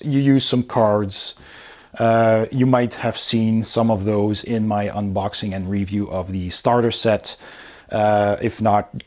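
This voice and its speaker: A man's voice: lowish voice, a little mellow. A man giving a casual, unscripted review commentary, explaining things step by step as he goes through a rulebook. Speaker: host of a board game review channel.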